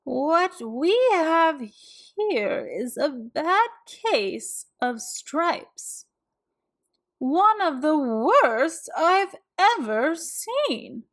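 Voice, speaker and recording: A woman voicing a kind, sweet old woman in a children's storybook, with drawn-out, deliberate phrasing. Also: high voice, very animated, voice pushed up, exaggerated voice. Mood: concerned. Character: kind, plump, sweet old woman, gently cheerful helper.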